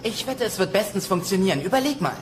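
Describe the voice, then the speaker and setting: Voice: mid-range voice, expressive, fast talker, background noise, natural voice. An actor voicing an eager, sarcastic teenage dinosaur son with a youthful voice in a cartoon dub. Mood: excited. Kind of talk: cartoon dubbing.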